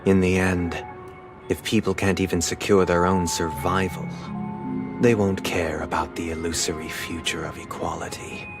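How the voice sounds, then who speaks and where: An actor playing a solemn, eloquent young man with bleak convictions in a game, speaking in a measured, formal, philosophical manner. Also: low voice, little pitch movement, vocal fry, over music. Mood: grave, persuasive. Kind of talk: scripted game dialogue.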